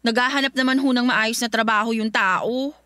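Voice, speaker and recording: Young Filipino daughter for radio drama: high-pitched, respectful, pleading yet defiant. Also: female voice, fairly even intonation, fairly quick, bright, forceful tone, no echo, clean studio sound. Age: young adult. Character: young woman, respectful but stubbornly devoted daughter. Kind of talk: Tagalog radio drama acting.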